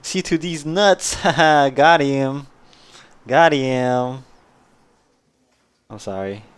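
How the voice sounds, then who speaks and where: A man's voice: medium pitch, very slow, clear, resonant tone. A man streaming a story game, chatting casually, laughing at his own jokes and reading game text aloud.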